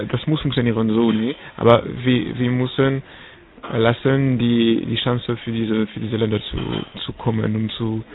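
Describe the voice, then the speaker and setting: A man with a French accent answers questions in German, stopping often and repeating words while he looks for the next one. His pitch sits in a middle range for a man. The recording is narrow and tinny, like a phone line on the radio. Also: vocal fry, slight background noise. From France. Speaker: interviewee. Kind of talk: halting interview answers.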